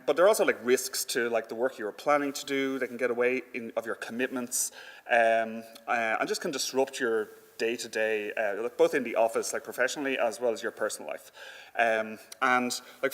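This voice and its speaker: A man giving an informal conference presentation in a conversational, halting way with frequent filler words. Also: mid-range voice, fairly bright tone. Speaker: conference speaker. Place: indoor conference hall. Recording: echoey hall with long reverb.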